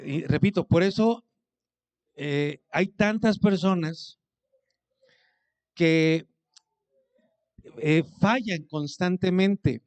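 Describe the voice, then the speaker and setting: A man's voice: high-pitched, drawn-out words, long pauses, a little mellow. A man preaching a sermon as pastor, speaking conversationally, asking his congregation questions and acting out what people say to him.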